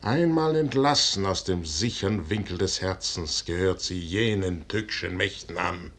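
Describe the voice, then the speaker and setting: An actor playing a proud, brooding middle-aged general in a 1950s radio play, declaiming in a weighty, solemn stage manner. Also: male voice, fairly bright tone. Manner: declamatory, weighty, solemn delivery with measured pauses. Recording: noisy 1950s radio recording with rumble.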